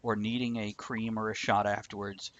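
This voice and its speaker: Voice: medium pitch, flat delivery, normal speed. A show host chatting casually on a live show. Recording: dull, lo-fi sound.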